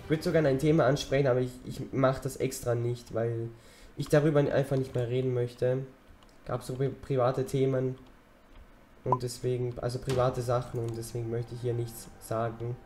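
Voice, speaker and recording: A teenage boy with a slightly hoarse voice from a cold, chatting casually while playing a game. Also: medium pitch, level tone, normal speed, a little mellow, faint music behind. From German-speaking Europe. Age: teens. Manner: rambling, chatty, talks while gaming. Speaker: gaming channel host. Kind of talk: casual let's-play commentary.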